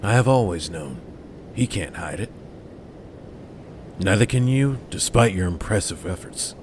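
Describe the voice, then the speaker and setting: A very low-pitched old man played by a male actor, a wise and defiant Jedi mentor who counsels bluntly. It is audio drama acting, with a faint noisy rumble behind the voice. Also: expressive, a little slow, darkish tone. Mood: knowing.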